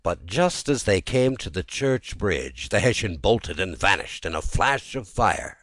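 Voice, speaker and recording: A man narrating a classic ghost story as a scripted reading, in a measured storytelling manner. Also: deep voice, big pitch swings, fairly bright tone, no room sound. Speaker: audiobook narrator.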